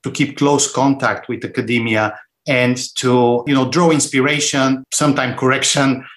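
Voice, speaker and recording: A middle-aged man from Bulgaria talks through his career as a podcast guest. He speaks thoughtfully, often restarting phrases and reaching for words. His voice is mid-pitched. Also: fairly even intonation, moderate pace, fairly bright tone. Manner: thoughtful, with frequent restarts and fillers. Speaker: guest, finance executive. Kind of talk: conversational interview answers.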